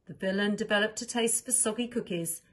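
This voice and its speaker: A woman narrating a children's storybook as a reader, with expressive delivery. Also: mid-range voice, fairly quick.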